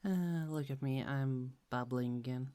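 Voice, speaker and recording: A woman playing a soft-spoken young goddess. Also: a bass voice, measured pace. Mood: friendly. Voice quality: soft, half-whispered, giggly. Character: gentle young goddess gardener, chatty. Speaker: ASMR roleplay actor. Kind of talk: ASMR roleplay.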